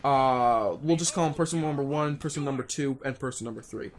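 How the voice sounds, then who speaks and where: A young man telling a casual, unscripted story in a rambling, halting way, often rephrasing himself as he goes. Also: medium pitch, a little hiss or hum. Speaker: online video storyteller.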